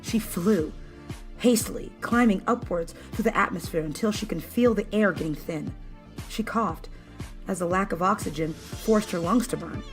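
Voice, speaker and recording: A woman's voice: medium pitch, normal speed, rough recording. A woman reading scripted fan fiction as a dramatic narrator. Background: background music.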